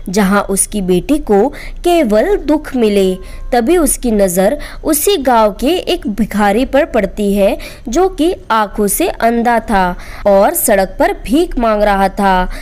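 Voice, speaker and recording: Slow-paced woman storyteller, higher-pitched. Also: lively intonation, a little mellow. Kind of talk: scripted moral bedtime story narration.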